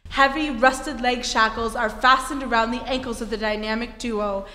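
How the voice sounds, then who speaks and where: A woman playing a theatrical old-time radio serial announcer with deliberate, dramatic phrasing. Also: fairly high pitch, fairly even intonation, bright, forceful tone, a little hiss or hum. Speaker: narrator. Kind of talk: scripted narration, 1940s radio style. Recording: echoey hall, music behind.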